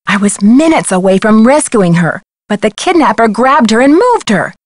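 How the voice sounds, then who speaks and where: A young female sleuth in an adventure game. Her voice is fairly high, and she speaks with composed, matter-of-fact determination. The recording is very dry. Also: big pitch swings, normal speed, no room sound. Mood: matter-of-fact, determined. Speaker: video game voice actor. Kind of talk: video game dialogue.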